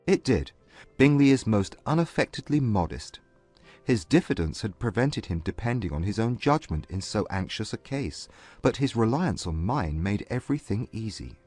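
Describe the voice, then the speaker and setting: A man playing a proud but humbled gentleman suitor, earnest and formal, in a dramatized classic romance audiobook. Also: on the low side, sing-song, husky, faint music behind. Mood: candid confession. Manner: formal, measured period English.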